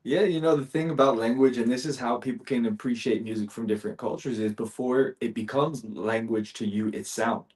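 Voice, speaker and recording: Male panelist, mid-pitched, conversational and explanatory. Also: a little fast, few pauses, a little mellow. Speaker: panel participant. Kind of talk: casual explanatory discussion.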